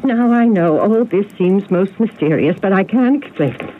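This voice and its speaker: A woman's voice: medium pitch, expressive, normal speed, lightly acted. Actress doing older genteel lady, fretful and secretive, old radio mystery, thin phone-like sound. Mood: flustered, apologetic. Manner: fretful, genteel, dramatic storytelling. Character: agitated, genteel older spinster haunted by family secrets. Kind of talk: radio mystery drama acting. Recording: narrow, noisy old radio broadcast recording.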